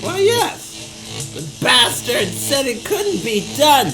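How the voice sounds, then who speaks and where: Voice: sits high, very animated, over music, exaggerated voice, poor audio. An actor playing a gloating mad scientist obsessed with the occult, theatrical and grandiose, in an amateur audio drama. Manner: theatrical, grandiose, slow and deliberate.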